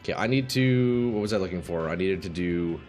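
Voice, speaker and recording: Hoarse streamer guy chatting over game music. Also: low voice, brisk. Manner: chatty, swears, reacts loudly, talks to chat as "boys". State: slightly hoarse, losing voice. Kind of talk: casual live-stream chat while playing. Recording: faint game music behind.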